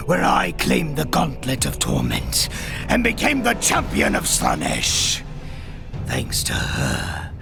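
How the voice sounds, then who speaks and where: An actor playing a decadent, zealous villain champion of a chaos god in a video game, theatrical and swinging between whispers and shouts. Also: male voice, mid-range voice, vocal fry, exaggerated voice. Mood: grandiose menace.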